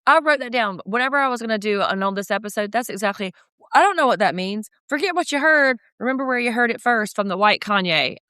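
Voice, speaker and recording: A woman with a slightly deep voice, co-hosting a podcast in sardonic, chatty recap talk. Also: quick pace, clean tone, dry recording. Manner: chatty, sardonic, animated asides. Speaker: podcast co-host. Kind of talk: casual recap chat.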